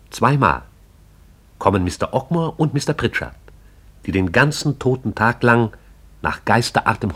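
Man narrating a radio play, lowish voice, measured and poetic. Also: fairly bright tone, slightly rough.

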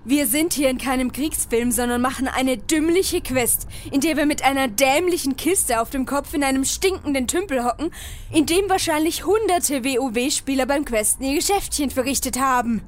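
Woman playing a high-voiced, sarcastic adventurer girl. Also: normal speed, slight background noise, natural voice. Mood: exasperated. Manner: sarcastic, scolding. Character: exasperated, sharp-tongued female online-game adventurer. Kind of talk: comedy audio play dialogue.

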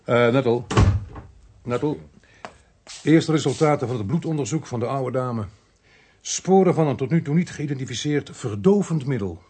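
An actor playing a brisk, dry, authoritative police inspector in a radio play, speaking Netherlands Dutch in a curt, wry, commanding manner. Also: male voice, medium pitch, lively intonation, warm tone, lightly acted. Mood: firm, businesslike. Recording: noisy archive radio play recording.

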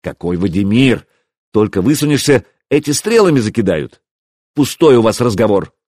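A male reader acts out a loyal warrior in an adventure audiobook. He is boyishly excitable and blunt with his chief, his intonation lively and the recording dry. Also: on the low side, normal speed, breathy edge, dry recording. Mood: worried.

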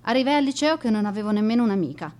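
A woman's voice: a little high, lively intonation, racing, a little mellow, natural voice. Wry, lonely outcast schoolgirl, played by a woman. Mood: rueful. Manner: confiding, self-deprecating, wry. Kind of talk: radio drama monologue.

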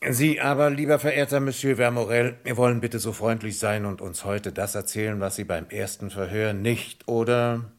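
A low-voiced man playing a police commissioner in an old German radio crime play. He is courteous and methodical, putting his questions with deliberate politeness and thoughtful hums. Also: fairly even intonation, close to an everyday voice. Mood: dryly insistent. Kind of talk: vintage German radio crime drama acting.

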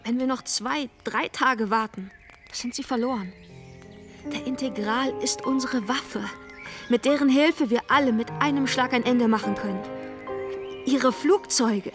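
Fervent high-voiced female revolutionary played by an actress. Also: measured pace, natural voice. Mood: urgent, persuasive. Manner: emphatic, rhetorical, insistent. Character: fervent, persuasive female revolutionary leader, urgent and provocative. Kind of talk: German radio play acting. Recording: music behind the voice.

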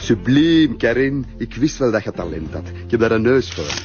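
An actor playing a sly, flattering Flemish photographer who coaxes in a TV crime drama. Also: male voice, mid-range voice, moderate pace, natural voice. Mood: flattering, coaxing. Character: smooth-talking, flattering male photographer, sly and coaxing. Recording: dull TV soundtrack, music behind.

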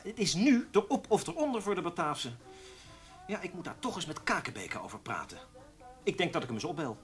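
Ambitious young journalist, vain and insistent, with very animated intonation, radio play. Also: male voice, fairly high pitch, brisk. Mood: insistent, irritated. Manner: insistent, self-assured. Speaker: actor. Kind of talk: radio drama dialogue.

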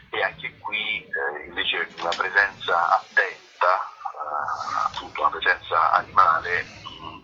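A man with a rough voice, answering as an interview guest, halting and searching for words. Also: deep voice, bright, forceful tone. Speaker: guest theatre author. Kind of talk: interview talk. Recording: dull phone-like radio sound.

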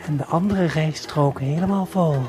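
A man playing a commanding, self-important God in an audio play, speaking slowly and theatrically, at times almost whispering. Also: fairly high pitch, darkish tone, lightly acted. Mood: solemn, commanding. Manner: imperious, theatrical, breaking into whispers. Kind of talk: slow audio play monologue to the listener. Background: faint music.

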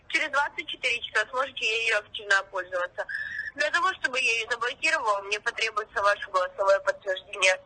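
A woman's voice: a little high, bright, forceful tone. A woman posing as a bank officer on a phone call, reading a formal call-center script and pressing insistently. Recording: phone line.

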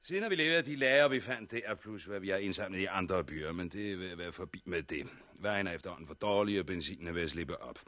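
A cold, authoritarian official in an old radio play. The voice is low and forceful, recorded dry and close, with measured bureaucratic phrasing that lays down the rules matter-of-factly. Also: male voice, dry recording. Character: cold, authoritarian male official of a militarised regime. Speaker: radio actor. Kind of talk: 1960s Danish radio drama acting.

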